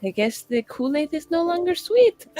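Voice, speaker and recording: A woman's voice: very high-pitched, very animated, a little slow, darkish tone, no echo, soft background music. A woman playing in a tabletop roleplaying game, chatting casually at the table.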